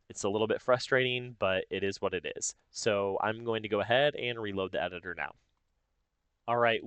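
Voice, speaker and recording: A man with a fairly low, smooth voice walks through a software process step by step. He explains informally, as if thinking aloud, and the sound is close and dry. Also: no echo. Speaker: tutorial host. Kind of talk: casual step-by-step software tutorial narration.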